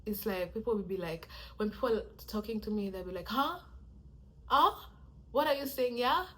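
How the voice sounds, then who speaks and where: A young woman tells a personal story to her camera in a chatty, animated way, bursting into quoted reactions. Her voice is soft and low in volume, at a middling pitch. A faint hiss sits behind the recording. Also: moderate pace, rough recording. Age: young adult. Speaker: vlogger.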